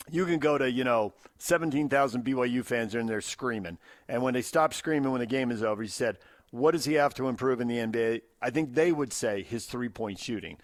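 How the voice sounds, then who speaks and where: An American man hosting unscripted sports talk on radio, explaining his points in a measured way. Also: mid-range voice, no room sound, clean studio sound.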